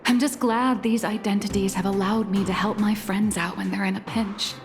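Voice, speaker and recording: A woman's voice: mid-range voice, steady tone, normal speed, in a large hall, faint music behind, lightly acted. An actress voicing a playful, self-assured young woman of many identities in a video game, talking in a teasing, confident way. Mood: sincere.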